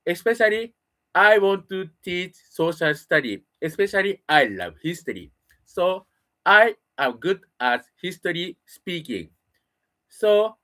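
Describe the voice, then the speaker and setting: A middle-aged Japanese man, a native Japanese speaker, talking alone in halting English with a Japanese accent, pausing and restarting as he searches for words. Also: on the high side, pushed and bright. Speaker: solo broadcaster practicing English. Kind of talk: casual spoken monologue.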